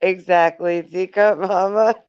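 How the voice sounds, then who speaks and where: A woman's voice: lowish voice, fairly even intonation, slow, smooth, no echo, dull audio. A woman hosting a casual live stream, reading viewer comments aloud, laughing often and speaking fondly to her viewers. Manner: giggly, laughs often between remarks; affectionate toward viewers.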